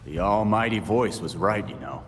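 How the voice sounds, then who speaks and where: Sardonic male antihero, blunt delivery, very low pitch, reverberant room. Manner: sarcastic, blunt. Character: gruff, sardonic young male vigilante. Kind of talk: dramatic video game cutscene acting. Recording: reverberant room, faint noise.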